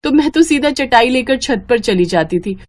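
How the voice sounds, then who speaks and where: A woman voicing a gentle, uncomplaining young wife who reassures in a cheerful, devoted way. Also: fairly high pitch, lively intonation, normal speed. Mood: reassuring, cheerful.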